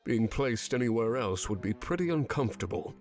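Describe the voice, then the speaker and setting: A man voicing a composed, earnest former enemy commander in a visual novel, speaking in a measured, formal way. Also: mid-range voice, animated, a little mellow, soft background music, lightly acted.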